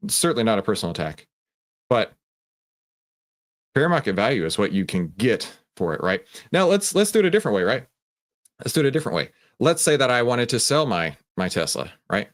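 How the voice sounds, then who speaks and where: Low-pitched man hosting a live trading stream. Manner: conversational, often tags statements with "right?"; emphatic, explanatory, poses questions and answers them. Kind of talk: casual live market commentary, chatting with viewers.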